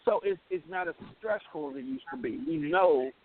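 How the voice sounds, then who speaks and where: A man's voice: high-pitched, very animated, normal speed, vocal fry, crowd noise. A man chatting casually as a talk show co-host, slangy and emphatic, repeating words to make his point. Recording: tinny phone line with hiss and noise.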